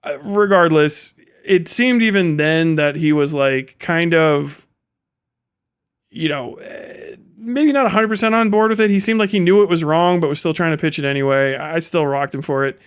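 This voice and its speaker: A man's voice: a little high, normal speed, smooth. A man giving casual, opinionated livestream commentary in a blunt, profane, conversational way. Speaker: streamer and commentator.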